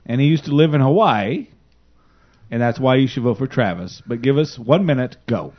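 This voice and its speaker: A man's voice: mid-range voice, lively intonation, moderate pace. A man co-hosting a casual internet radio talk show, joking and bantering loosely with his partner. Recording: dull lo-fi audio.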